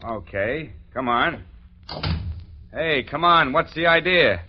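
An actor playing a suspicious, blustering small-time crook with gruff, slangy American speech, in the style of 1940s radio drama. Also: male voice, on the high side, animated, slow pace, a little hiss or hum. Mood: rattled, demanding. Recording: muffled old-time radio recording.

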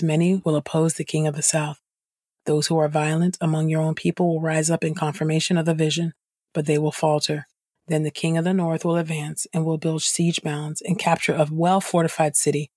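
A woman reading Bible passages aloud from a script in a measured, formal way, as a podcast host. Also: low-pitched, darkish tone, no room sound, studio quality.

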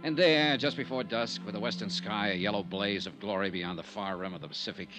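An actor playing a shrewd, hard-boiled insurance investigator in a 1950s radio detective drama, clipped, dramatic and wry. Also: male voice, fairly low pitch, brisk, music in the background, close to an everyday voice, poor audio. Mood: crisp, narrating.

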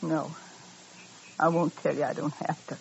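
A woman's voice: deep voice, flat delivery, moderate pace, creaky. Unhinged jilted frontier woman, bitter, folksy, childlike repetition, old radio western, dull hissy sound. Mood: sullen, defiant. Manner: rustic folksy speech, brooding, childlike repetition. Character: crazy, spurned frontier woman, defiant and bitter.

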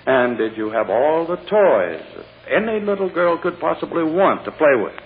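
An actor playing a pompous, impatient prosecutor questioning a child in a condescending, formal way in a 1940s radio drama. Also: male voice, on the high side, sing-song, moderate pace, a little hiss or hum. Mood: patronizing. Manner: coaxing, condescending, formal courtroom address. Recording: old radio recording, muffled, long hall reverb.

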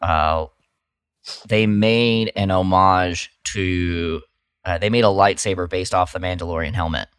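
A man's voice: deep voice, measured pace, smooth, no echo, studio quality. A man co-hosting a podcast, talking casually and loosely with many uhs and likes. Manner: relaxed, hesitant with uh and like. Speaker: podcast co-host.

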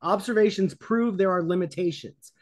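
A man's voice: high voice, moderate pace. A man arguing in a debate, firm and skeptical, often cutting in to object. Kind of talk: live debate argument.

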